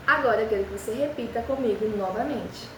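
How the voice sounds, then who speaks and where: A young woman who is a native Spanish speaker, speaking Portuguese with a Spanish accent and teaching beginners with an encouraging manner, repeating phrases slowly for practice. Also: on the high side, echoey room, distant mic, background noise. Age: young adult. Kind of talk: beginner language lesson.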